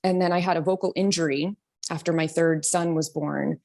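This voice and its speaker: Low-voiced chatty woman from New York. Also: fairly even intonation, normal speed. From New York City. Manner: chatty, frequent ums and soft laughs. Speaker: guest, singer and voice teacher. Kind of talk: casual interview talk.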